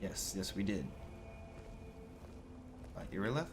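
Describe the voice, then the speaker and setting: A man roleplaying a bewildered fantasy adventurer in a video game, thinking aloud in a halting, musing way. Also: low-pitched, big pitch swings, background noise, heavily acted. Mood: hesitant. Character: bewildered, hesitant male adventurer in a fantasy world. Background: music.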